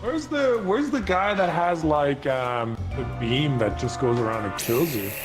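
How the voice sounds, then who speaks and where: A man playing a co-op game with friends in casual, excitable chat, swearing and joking. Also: fairly high pitch, a little slow, over music.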